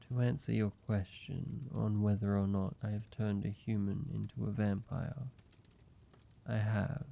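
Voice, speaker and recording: A man voicing an ancient vampire in an intimate roleplay audio, speaking in a measured, halting, solemn way, like a weary father telling his past. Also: fairly low pitch, vocal fry, mellow, exaggerated voice. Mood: grave, patient.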